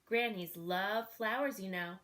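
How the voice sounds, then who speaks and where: A woman's voice: mid-range voice, unhurried, fairly bright tone, close to an everyday voice. A woman reading a storybook aloud, voicing a greedy male wolf who speaks with false politeness. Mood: sly. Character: sly smooth-talking male wolf, greedy.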